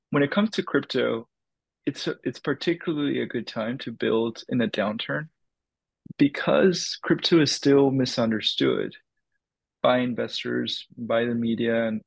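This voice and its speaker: A man's voice: medium pitch, a little slow, gravelly, no room sound, poor audio. A man from the Dominican Republic, a crypto startup founder, answering interview questions in a thoughtful way with many fillers and restarts.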